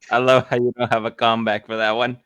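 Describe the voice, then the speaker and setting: A man's voice: medium pitch, level tone, normal speed, fairly bright tone, clean tone, muffled recording. A man bantering casually as a player in a tabletop role-playing game.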